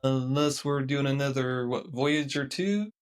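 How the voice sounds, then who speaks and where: A man's voice: mid-range voice, unhurried. A young man chatting casually as a guest in a dry, matter-of-fact way. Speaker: podcast guest. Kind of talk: casual chat.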